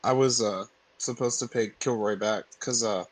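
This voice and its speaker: A man playing a tabletop game, chatting casually, halting and correcting himself, sometimes swearing. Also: fairly low pitch, no echo. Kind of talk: casual in-character game chat.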